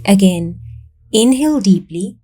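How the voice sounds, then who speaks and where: A woman narrating a guided meditation in a calm, soothing, measured way, leaving long pauses between instructions. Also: mid-range voice, soft background music.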